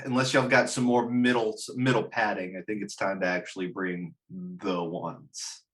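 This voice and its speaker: A man's voice: fairly low pitch, steady tone, normal speed, a bit bright. A man hosting a casual discussion about films and games, speaking bluntly and wryly with some swearing. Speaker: podcast host. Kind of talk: casual film and game discussion chat.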